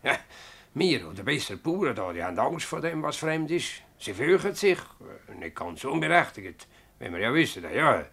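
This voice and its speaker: A man playing a self-important farmer and village mayor in a Swiss German radio play, halting, complaining and self-justifying. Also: medium pitch, big pitch swings, vocal fry, sharp tone, slightly rough, heavily acted. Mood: defensive. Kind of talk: dialect radio drama acting.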